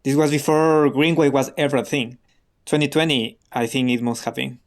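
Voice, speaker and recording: An actor playing a guarded, defiant radical under questioning in a radio drama, terse and defensive. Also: male voice, medium pitch, fairly even intonation, normal speed, close to an everyday voice. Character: guarded, defiant male radical being interrogated.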